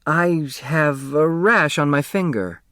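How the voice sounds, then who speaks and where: A man's voice: on the high side, slow, a bit bright, no echo, studio quality, pitched above the performer's own voice. A man voicing a sulky, defiant young girl in a storybook, with a blunt, complaining manner. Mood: flat.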